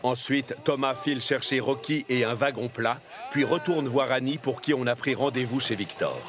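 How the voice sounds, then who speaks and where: A man narrating a scripted children's cartoon story as an expressive storyteller. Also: medium pitch, normal speed.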